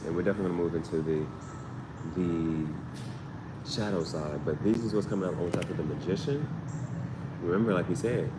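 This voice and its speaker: A man giving a casual live tarot reading, conversational and slangy, often repeating his phrases as he thinks aloud. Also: deep voice. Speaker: tarot reader, channel host. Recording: echoey room with loud background noise.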